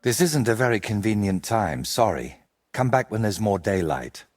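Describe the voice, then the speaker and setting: An actor playing an ambitious, vain medieval painter in a video game, speaking in an articulate, theatrical and persuasive way. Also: male voice, on the low side, big pitch swings, moderate pace. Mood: helpful, explaining. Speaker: painter character. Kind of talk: video game cutscene dialogue.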